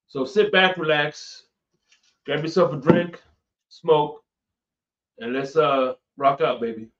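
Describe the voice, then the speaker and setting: An enthusiastic, laid-back man hosting a casual podcast, talking in slang with a slightly high voice. He sits away from the mic in an echoey room, and the sound is dull. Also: slow pace, a bit bright, recorded from a distance. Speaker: podcast host.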